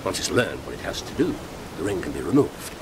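An actor playing a brilliant, proud detective in a radio drama, with a British accent and articulate, deliberate, emphatic delivery. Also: male voice, low-pitched, sing-song, noisy background. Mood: calm, courteous. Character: brilliant, proud male detective, precise and relentless.

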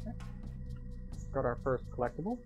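A man's voice: medium pitch, wildly swooping pitch, a little fast, muffled recording. Man guiding a game playthrough over music. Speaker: host of a game playthrough guide. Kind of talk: guided game commentary, partly scripted. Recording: music playing behind the voice.

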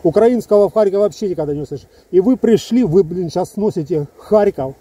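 A man's voice: high-pitched, lively intonation, fast talker, dark tone, slightly rough, poor audio. A man in his fifties from Ukraine, talking heatedly to his phone camera outdoors among trees, in an emphatic, rambling monologue full of asides. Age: 50s. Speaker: soldier vlogger. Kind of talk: casual video monologue to camera. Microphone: phone held close, selfie framing.

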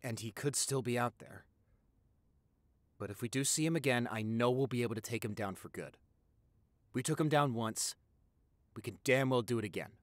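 A young man playing an affectionate, excitable vampire boyfriend in an intimate audio roleplay, gushing and stammering. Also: mid-range voice, normal speed, pauses often, no echo, close to an everyday voice. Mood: quiet determination. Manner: gushing, stammering, repeats himself.

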